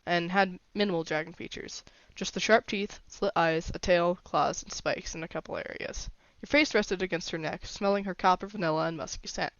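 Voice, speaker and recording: Female story narrator, mid pitch with plenty of vocal fry, dry and slightly muffled lo-fi sound. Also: dry recording. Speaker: fan fiction reader.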